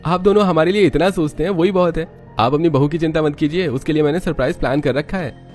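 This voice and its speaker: Man voicing an eager young husband, raised pitch. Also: fast, a little mellow, faint music behind, natural voice. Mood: cheerful, caring. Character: excited young husband and son, cheerful and affectionate. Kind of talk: cartoon story character voice.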